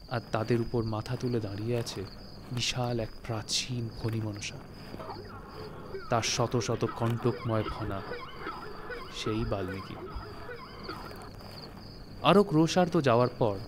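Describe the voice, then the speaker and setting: A man reading a literary story as narrator, in a measured, phrase-by-phrase storytelling manner. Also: mid-range voice, noisy background. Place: recording studio. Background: music.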